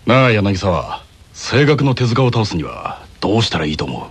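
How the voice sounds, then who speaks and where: A man's voice: fairly low pitch, big pitch swings, normal speed, heavy background noise, voice pushed down. A man voicing a determined, fired-up teenage tennis captain, earnest and challenging, in an anime radio skit.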